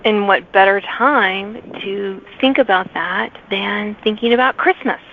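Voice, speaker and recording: A woman's voice: medium pitch, measured pace, vocal fry, slight background noise. A woman hosting a casual author interview in a chatty, agreeable manner. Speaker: podcast host. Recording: phone-like radio-sounding line.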